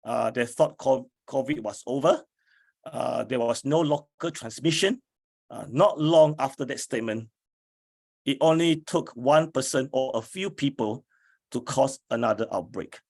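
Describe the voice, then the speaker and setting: A man, an academic, giving a slide lecture in a hoarse voice, speaking deliberately with many fillers. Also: mid-range voice, a little mellow. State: hoarse from flu.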